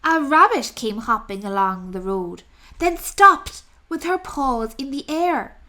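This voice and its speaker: Woman reading a children's story, fairly high pitch, bright forceful tone, expressive, deliberate. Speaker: storybook narrator. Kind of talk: scripted children's story read-aloud.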